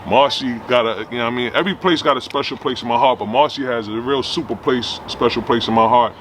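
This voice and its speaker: A man's voice: mid-range voice, fast talker, fairly bright tone, slight background noise. A man from Trinidad, a guest tattoo artist, telling his story in casual chat, laid back and peppering his talk with "you know what I mean". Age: adult with own family.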